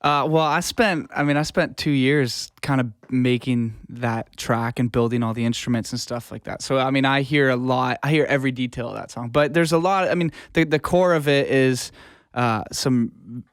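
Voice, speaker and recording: A man's voice: mid-range voice, normal speed, no room sound, clean studio sound. A man giving casual, rambling explanations as a music producer guest in an interview.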